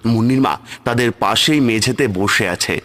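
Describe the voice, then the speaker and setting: A man's voice: mid-range voice, normal speed, a bit bright. A man narrating a scripted horror story as its storyteller. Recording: music bed under the voice. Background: music.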